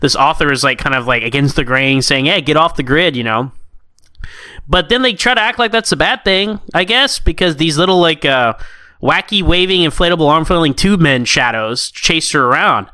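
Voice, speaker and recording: A man's voice: a little high, lively intonation, normal speed, bright, forceful tone, dry recording. A man co-hosting a podcast in casual chat, informal and given to acting out little quotes as he reviews stories. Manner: informal, peppers talk with "like" and mimicked quotes. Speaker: podcast co-host.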